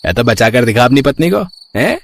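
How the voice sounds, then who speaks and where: A man voicing a menacing, taunting street thug in an animated story. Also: medium pitch, fairly quick, a little hiss or hum, pitched above the performer's own voice. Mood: threatening.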